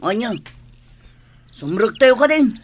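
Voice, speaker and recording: A man's voice: very high voice, sing-song, slow, full character voice. A man with an Ilocano accent playing a wary but loyal henchman in a bandit gang in a radio drama. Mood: urgent.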